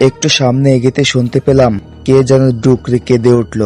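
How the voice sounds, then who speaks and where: A man's voice: medium pitch, flat delivery, a little fast, over music, close to an everyday voice. A man acting a troubled village doctor who narrates his own ghost story in a scripted, suspenseful horror style. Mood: grave, suspenseful.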